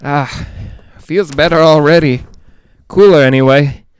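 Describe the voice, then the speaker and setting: A man playing an excitable, skeptical reporter in a 1940s-style radio drama, hesitant and stammering when rattled. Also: on the high side, measured pace, smooth, no echo, close to an everyday voice. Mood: casual. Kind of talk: 1940s radio drama acting, live on-the-scene commentary.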